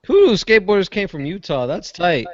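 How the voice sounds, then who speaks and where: A man's voice: high voice, highs cut off, a loud crowd. A man hosting a casual skateboarding chat, joking and steering between topics. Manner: jokey, lively, quick to change topics. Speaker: podcast host. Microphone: big headphones on, remote call.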